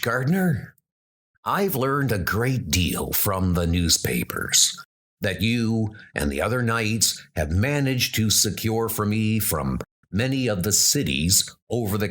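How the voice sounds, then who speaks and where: A man voicing a thoughtful male schoolteacher turned historian who speaks in a measured, inquisitive way. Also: fairly low pitch, a bit bright, heavily acted.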